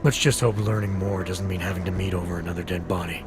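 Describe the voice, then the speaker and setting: An actor playing a serious, guarded and quietly stubborn investigator in a video game, speaking in terse, deliberate lines. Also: male voice, sits low, fairly even intonation, over loud music, natural voice. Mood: guarded, sober. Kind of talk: video game cutscene acting.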